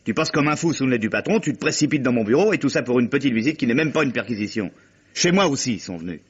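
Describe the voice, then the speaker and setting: A man's voice: medium pitch, lively intonation, quick pace, breathy edge. An actor playing an impatient, domineering young man in a French radio mystery play, exasperated and insistent with his friend. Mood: exasperated, pressing. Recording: dull, muffled vintage radio recording.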